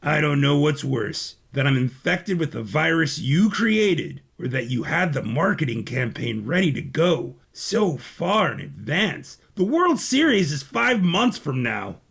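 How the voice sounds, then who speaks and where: Desperate dying robot brother in an audio drama, acted by a man, bitter, accusing, highly dramatic. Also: very animated, a little slow, creaky, hardly any pauses, a bit bright, husky, heavily acted.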